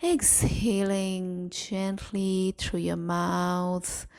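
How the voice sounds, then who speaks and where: A woman leading a guided meditation in a soothing, gentle manner, leaving long pauses between short phrases. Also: fairly low pitch, level tone, smooth. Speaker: meditation guide and series host.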